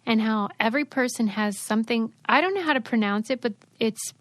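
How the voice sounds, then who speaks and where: A woman's voice: a little high, fairly quick, no echo. Chatty woman co-hosting a podcast. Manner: chatty, reads ad copy. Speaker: podcast co-host. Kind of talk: casual chat.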